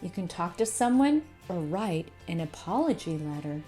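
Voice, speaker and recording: A woman voicing a grumpy but wise parrot, measured and instructive, in a children's storybook. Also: fairly low pitch, sing-song, dark tone, soft background music. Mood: wise, advising. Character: grumpy colorful male parrot turned wise adviser. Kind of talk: children's storybook read-aloud.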